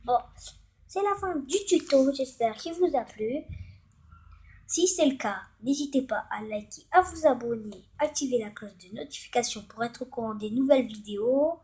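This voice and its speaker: A woman hosting a casual, improvised tutorial, hesitant and halting as she thinks aloud while demonstrating. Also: fairly high pitch, darkish tone, breathy edge. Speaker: tutorial host.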